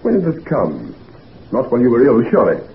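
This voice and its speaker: An actor playing a concerned, frank physician friend, chummy and old-fashioned, in a 1940s radio drama. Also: male voice, medium pitch, huge pitch swings, a little fast. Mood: curious. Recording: noisy, tinny 1940s radio recording.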